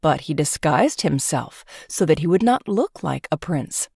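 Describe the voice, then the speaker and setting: A woman narrating a children's storybook in an expressive, scripted read-aloud style. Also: sits low, measured pace, creaky, slightly rough, no echo, clean studio sound.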